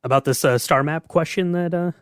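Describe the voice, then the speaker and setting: A man's voice: on the high side, brisk, smooth, no room sound, clean studio sound. A man hosting a podcast, chatting casually and explaining things in a tentative, hedging way. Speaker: podcast host. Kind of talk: casual chat about game lore.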